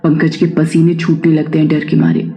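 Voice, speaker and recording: A man's voice: fairly high pitch, monotone, moderate pace, dark tone, faint music behind. A man reading a scripted horror story as its storyteller.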